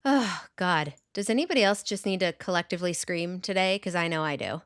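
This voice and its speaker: A woman co-hosting a podcast, chatting casually in a playful, expressive way. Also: on the low side, brisk, clean tone, no echo, studio quality. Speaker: podcast co-host. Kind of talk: casual chat.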